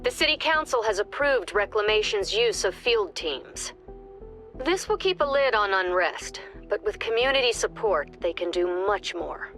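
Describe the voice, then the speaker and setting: A composed, authoritative director, voiced by a woman giving measured mission briefings in a game. Her voice sits at a middle pitch and stays businesslike throughout. Soft music plays under her. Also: animated, natural voice. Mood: calm.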